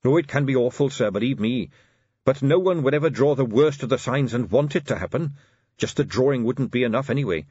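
A man's voice: mid-range voice, expressive, brisk, breathy edge, no room sound, dull audio, pitched above the performer's own voice. A man voicing an earnest, eager young male captain who speaks politely in a raised voice. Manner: earnest, polite, lifted and bright.

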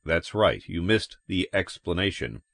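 A man's voice: low-pitched, big pitch swings, measured pace, creaky, a bit bright, no echo, voice pushed down, exaggerated voice. A male narrator playing a terse, official heavy-set policeman in a story reading. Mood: calm, impassive. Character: heavy-set male cop, curt, impassive questioner.

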